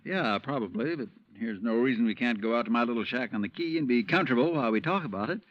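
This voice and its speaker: An actor playing an easygoing, jovial American insurance branch manager in 1950s radio style. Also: male voice, medium pitch, quick pace, close to an everyday voice. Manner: folksy, chuckling, relaxed. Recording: dull vintage radio recording.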